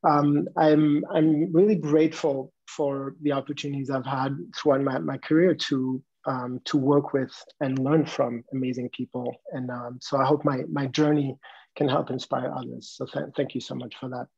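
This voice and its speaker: A man in his forties from Morocco and Luxembourg, answering interview questions in a halting, reflective way over a video call. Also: mid-range voice, level tone, vocal fry, darkish tone, breathy edge. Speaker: interview guest, design innovator. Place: room at home. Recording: dull video-call audio.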